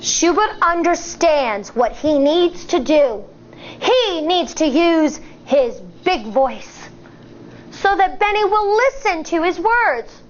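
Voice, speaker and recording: Animated woman teacher reading a storybook. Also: very high voice, lo-fi sound. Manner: animated, expressive and chatty with asides. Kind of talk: reading a picture book aloud to children. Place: studio in front of a blue backdrop.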